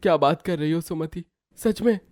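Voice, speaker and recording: A man's voice: fairly high pitch, normal speed, darkish tone, dry recording, clean studio sound, close to an everyday voice. A man voicing a humble, earnest cook and father in a moral story, deferential in manner. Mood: worried. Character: humble, pleading male cook, worried ageing father. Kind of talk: acted moral-story dialogue.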